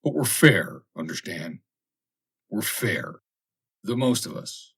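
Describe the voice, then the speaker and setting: A burly, fair-minded seaman in an audiobook acted by a man, gruff and sure of himself. His voice is deep and husky, firm in delivery. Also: very animated, slow pace, a bit bright, exaggerated voice. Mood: commanding. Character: big gruff sailor machinist, fair-minded, firm, cool. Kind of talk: dramatic audiobook character voice.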